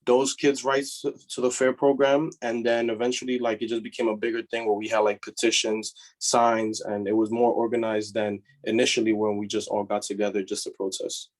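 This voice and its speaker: Flat-voiced young man student. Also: lowish voice, brisk, few pauses. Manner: casual, fillers like "like" and "um". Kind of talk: casual classroom sharing. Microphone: video call.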